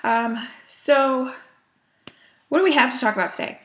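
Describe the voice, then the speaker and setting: A woman's voice: high voice, moderate pace, fairly bright tone. A woman chatting casually as a cross-stitch vlogger, a little sniffly from a cold, with lots of ums and small laughs. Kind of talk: casual chat.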